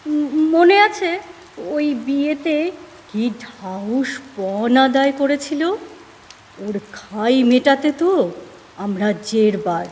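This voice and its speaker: Actress as nagging Bengali housewife for audio drama; high voice, unhurried delivery, expressive and fretful. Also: female voice, long reverb tail. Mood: grumbling.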